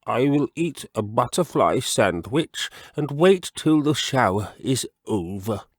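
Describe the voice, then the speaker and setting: A man voicing a fussy, genteel gentleman frog from a storybook, with deliberate, drawn-out, expressive phrasing. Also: mid-range voice, no room sound, clean studio sound, heavily acted. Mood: fussy, musing. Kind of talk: storybook character voice.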